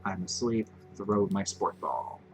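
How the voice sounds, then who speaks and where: A man's voice: sits low, expressive, measured pace. Rambling, self-mocking young male streamer. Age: 20s. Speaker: Twitch streamer. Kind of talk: casual gameplay commentary. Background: game music.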